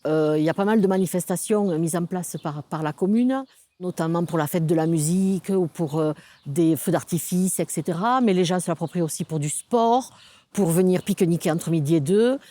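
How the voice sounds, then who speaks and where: A woman's voice: lowish voice, a little fast, mellow, no echo. A woman speaking as a local government project officer in an explanatory interview, feeling her way through phrases with some repetition.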